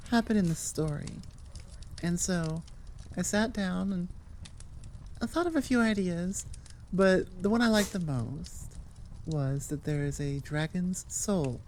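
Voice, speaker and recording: A woman's voice: lowish voice, darkish tone, a little hiss or hum. A woman voice actor and channel host chatting casually and off the cuff to her listeners, rambling and enthusiastic. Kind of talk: casual unscripted chat to listeners.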